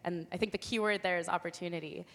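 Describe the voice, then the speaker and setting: A woman's voice: lowish voice, steady tone, quick pace, fairly bright tone. A young woman from Argentina, a physics PhD student, giving an earnest, explanatory talk at an awards event, with occasional "um" and "uh". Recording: long hall reverb.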